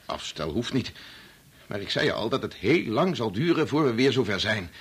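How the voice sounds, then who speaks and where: An actor in a Dutch radio play playing a self-absorbed, irritable medical researcher who talks insistently and repeats himself. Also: male voice, medium pitch, sing-song, normal speed, a little hiss or hum. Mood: impatient. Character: embittered, self-absorbed male medical researcher, agitated husband.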